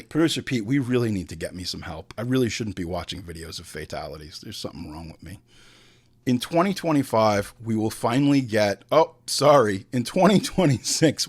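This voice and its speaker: A man hosts a comedic movie review show in a highly animated, mid-pitched voice. He swings widely in pitch as he moves between reciting film facts and irreverent ranting asides. His delivery is crude and theatrical, with bits of imagined dialogue acted out. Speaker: host of a movie review podcast.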